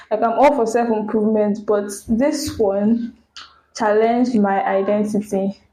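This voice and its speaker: A soft-spoken woman with a Nigerian accent, hosting a casual solo podcast and chatting candidly, speeding up when she tells stories. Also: medium pitch. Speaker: podcast host. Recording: roomy echo.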